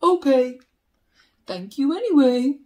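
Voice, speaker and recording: A woman voicing a big, polite, forlorn bear, with earnest, drawn-out questions, in a children's picture book. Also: high-pitched, animated, warm tone. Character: big polite forlorn bear searching for his lost hat.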